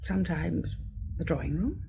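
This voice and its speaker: Noisy radio-play recording, composed young secretary. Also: female voice, low voice, very animated, normal speed, creaky, warm tone, heavily acted. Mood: candid, guarded. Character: candid, composed young secretary having an affair with her employer. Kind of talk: radio detective drama acting.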